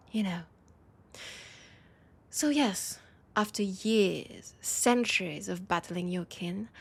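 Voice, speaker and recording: A woman with a French accent playing a teasing, nostalgic, centuries-old vampire in an intimate audio roleplay, her breathy voice often dropping to a whisper. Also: medium pitch, exaggerated voice. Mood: teasing, wry. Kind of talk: intimate audio roleplay, one-sided dialogue.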